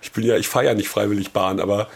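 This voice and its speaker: Brief: low gravelly husky man, stuffed up from a cold, chatty podcast co-host with dry humor. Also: steady tone, fast. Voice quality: hoarse, gravelly. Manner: chatty storyteller, dry jokes. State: has a cold. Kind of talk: casual podcast chat.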